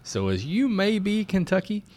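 A man's voice: high-pitched, sing-song, moderate pace, a little mellow. A man in his thirties from southern Indiana, chatting casually and joking on a podcast. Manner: joking, boisterous. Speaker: podcast host. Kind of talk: casual chat.

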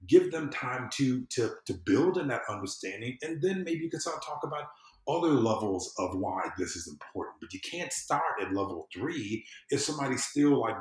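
A man's voice: mid-range voice, expressive, moderate pace. A man chatting casually as a podcast guest, explaining in a rambling way and often repeating his phrases. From southern United States. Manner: rambling, repeats phrases, explains through acted-out everyday examples. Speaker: podcast guest, civic engagement consultant. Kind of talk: casual conversational interview. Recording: roomy, echoey sound.

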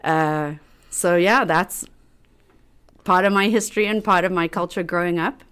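A woman's voice: lowish voice, measured pace, fairly bright tone, clean tone. A middle-aged woman from South Africa with a South African accent, a podcast guest telling stories in a chatty way with fillers and laughs. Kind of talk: casual chat.

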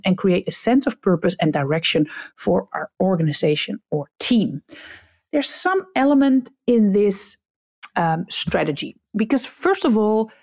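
A woman's voice: on the low side, gravelly, darkish tone, husky. A woman with a Dutch accent, whose first language is Dutch, hosting a solo podcast and giving direct, blunt advice to leaders. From Netherlands. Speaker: podcast host, customer experience coach.

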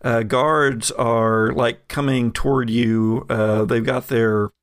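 A man running a tabletop game as game master, narrating with frequent laughter and halting restarts. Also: mid-range voice, steady tone, clean tone, dry recording, clean studio sound.

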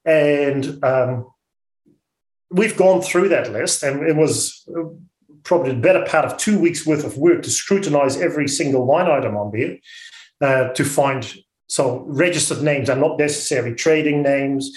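A man with a Dutch accent speaking as an interview guest, blunt and outspoken, often halting and repeating words. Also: a little high, a little mellow, reverberant, poor audio. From Netherlands. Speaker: interview guest, orchard business owner. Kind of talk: radio interview.

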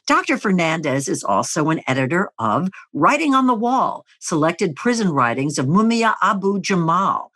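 A woman's voice: on the low side, fairly bright tone. A woman hosting an interview show, reading a polished introduction and then asking inviting questions.

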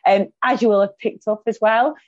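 A woman's voice: medium pitch, steady tone, brisk, dry recording. A woman with a broad Lancashire accent hosting a podcast interview, chatty and enthusiastic, with frequent ums. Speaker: podcast host. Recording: dull lo-fi sound, dry, faint music.

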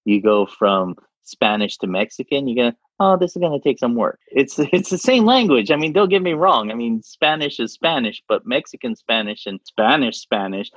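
A man's voice: medium pitch, big pitch swings, normal speed, hardly any pauses, no echo. A man speaking casually as an interview guest, rambling and repeating words as he talks. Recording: dull lo-fi sound.

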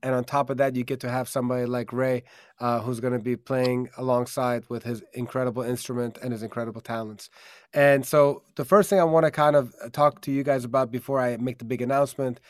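A man's voice: medium pitch, fairly even intonation, brisk, dry recording, clean studio sound. A man born in Germany and raised in America, hosting a live stream in an upbeat, earnest way with many fillers, over a video call. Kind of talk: casual promotional live-stream talk.

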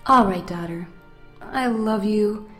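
A woman's voice: medium pitch, takes it slowly, soft background music, lightly acted. A woman acting in an audio drama as a protective, teasing mother who fusses affectionately and speaks bluntly. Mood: fond, concerned. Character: protective, teasing mother of a blind college student.

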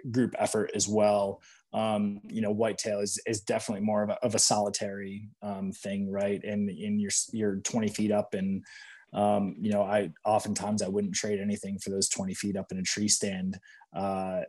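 A man in his thirties from Michigan chats loosely as an interview guest, his voice sitting a little on the low side. He wanders through his thoughts with plenty of ums and restarts, easygoing and unhurried. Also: slightly rough. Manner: rambling, relaxed, many fillers and restarts. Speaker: outdoor gear category manager, interview guest. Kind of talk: casual conversational interview.

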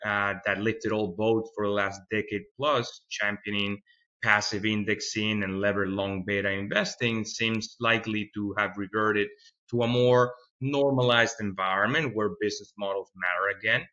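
A man's voice: on the low side, steady tone, moderate pace, pushed and bright, muffled recording. A man in his thirties from Venezuela, a fund manager answering interview questions thoughtfully and often repeating a word as he finds the next one. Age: 30s. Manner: thoughtful, repeats words while finding them. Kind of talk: podcast interview.

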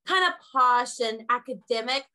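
A woman's voice: fairly high pitch, lively intonation, normal speed, sharp tone. A woman co-hosting a book podcast, bubbly and enthusiastic, laughing a lot in casual chat. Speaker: podcast co-host.

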